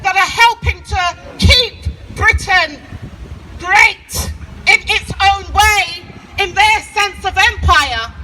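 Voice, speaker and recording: A woman giving an emphatic, declamatory public address as an activist, phrasing deliberately, outdoors. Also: very high-pitched, bright, forceful tone, a little hiss or hum, rough recording. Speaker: activist speaker. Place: outdoors at a street gathering.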